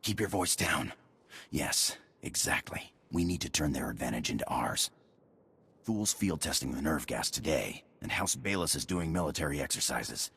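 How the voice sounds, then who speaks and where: A furtive, paranoid spy from a video game. His voice is extremely deep and thick with rasp. He speaks in clipped, secretive phrases. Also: lively intonation, normal speed, fairly bright tone, full character voice. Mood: secretive, urgent. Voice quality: very gravelly, raspy. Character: furtive paranoid male spy agent, conspiratorial.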